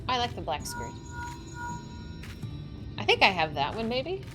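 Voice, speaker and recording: Chatty young woman streamer, lively. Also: measured pace, over music. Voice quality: slightly squeaky. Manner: excitable, chatty, laughing often. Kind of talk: casual chat while playing a game.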